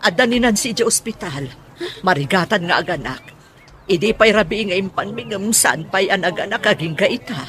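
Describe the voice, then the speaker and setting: A woman's voice: mid-range voice, animated, moderate pace, a bit bright, lightly acted. Actress as scolding mother with swooping delivery. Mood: worried, grumbling. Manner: nagging, complaining, melodramatic. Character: exasperated scolding middle-aged mother of a pregnant daughter. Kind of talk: Ilocano radio comedy-drama acting.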